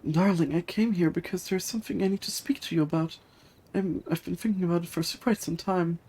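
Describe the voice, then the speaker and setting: A streamer voicing a gentle, devoted fish-woman noble from a video game, courtly and earnest in manner. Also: medium pitch, steady tone, a little fast, breathy edge, pitched above the performer's own voice. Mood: earnest, hesitant. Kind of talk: reading video game dialogue aloud in character.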